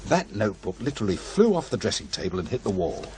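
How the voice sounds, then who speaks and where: Pushy low-voiced radio man. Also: big pitch swings, fast talker, mellow, a little hiss or hum. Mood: eager, persuasive. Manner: insistent, persuasive. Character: pushy, ambitious male radio program maker. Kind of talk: naturalistic radio drama dialogue.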